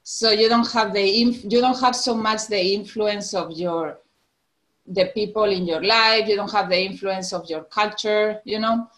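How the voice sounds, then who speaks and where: A woman's voice: mid-range voice, unhurried, rough recording. Woman, Spanish accent, forties, podcast guest, laughs a lot, restarts sentences, casual chat. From Spain, Canary Islands. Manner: laughs often, restarts sentences, animated retellings. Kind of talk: casual interview conversation.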